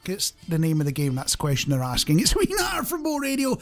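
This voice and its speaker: A man's voice: high-pitched, wildly swooping pitch, quick pace, faint music behind. A man with a British accent presenting a radio show in a casual, rambling, conversational and self-deprecating way.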